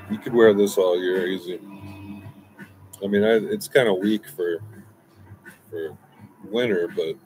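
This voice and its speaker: A man in his forties talks through a loose, rambling review, circling back on himself with asides and restarts. His voice sits low. He is recorded outdoors on a close webcam, with faint music underneath. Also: a little slow, long pauses, poor audio. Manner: relaxed, meandering, many restarts and asides. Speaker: fragrance reviewer. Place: outdoors by a brick wall, smoking.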